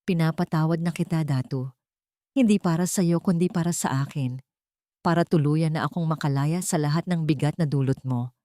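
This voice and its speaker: A woman narrator voicing a calm, resolute woman army officer, solemn and deliberate, in a dramatic story. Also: sits low, no room sound, clean studio sound, natural voice.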